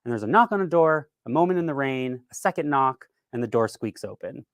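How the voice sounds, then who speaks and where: A man's voice: mid-range voice, animated, a little fast, no room sound, clean studio sound. A man giving an informal instructional keynote talk at a virtual event, explaining in a conversational, somewhat hesitant way. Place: virtual event, speaking from a dry room.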